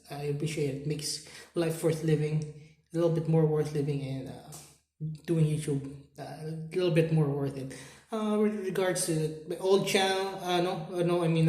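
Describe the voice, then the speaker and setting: A man with a Filipino accent talks straight to camera in a slightly high voice. He halts, restarts and repeats words, filling gaps with "uh" and "you know". The room around him has a noticeable echo. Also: darkish tone. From Philippines. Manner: halting, repeats words, many fillers. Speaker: YouTuber. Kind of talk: unscripted talk to camera.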